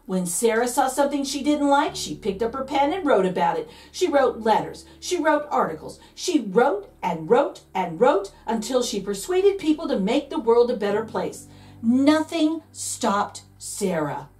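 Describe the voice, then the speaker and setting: A woman reads a children's picture book with playful, theatrical emphasis. Her voice sits fairly high and swings widely in pitch, stretching key words for drama. She sounds a little away from the microphone. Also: sing-song, measured pace, darkish tone, recorded from a distance. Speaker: read-aloud host, storyteller. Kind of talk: expressive children's picture book read-aloud.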